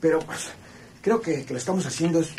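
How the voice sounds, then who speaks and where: Actor as high-voiced whiny rustic Mexican man, noisy. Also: quick pace, mellow, close to an everyday voice. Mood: worried. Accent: rural northern Mexican. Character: complaining, dim, cautious rustic cousin hunting treasure. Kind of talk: radio serial acting. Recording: noisy old radio-serial recording.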